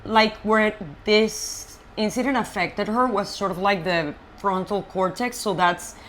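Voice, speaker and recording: A woman from Colombia, a native Spanish speaker, telling her life story as an interview guest in an animated, halting way with frequent restarts. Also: mid-range voice, slight background noise. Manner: animated, halting, many fillers and restarts, frank. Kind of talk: personal storytelling in conversation.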